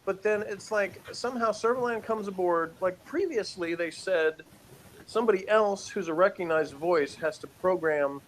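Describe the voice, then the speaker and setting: 약간 높은 톤으로 열변하는 남자, 잡음 섞인 녹음. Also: male voice, sits high, normal speed, a little hiss or hum, poor audio. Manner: rambling, emphatic, exasperated. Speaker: podcast review co-host. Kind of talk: casual opinionated review rant.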